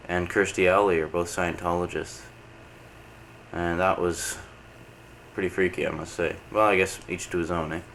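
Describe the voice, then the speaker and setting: A man's voice: deep voice, a bit bright. A man coming down with a cold, chatting casually and rambling to camera with lots of uh's, recorded on a camcorder mic in a room at home. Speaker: video letter host. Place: indoor home program room. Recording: noisy early-90s camcorder tape.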